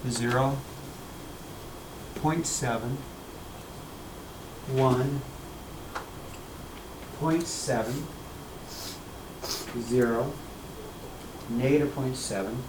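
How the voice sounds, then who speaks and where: A man teaching a math class, lively and repetitive, often checking in with "okay?" and "right?". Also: medium pitch, noisy background. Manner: energetic, repeats key points, asks "okay?" and "right?" often. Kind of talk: classroom lecture.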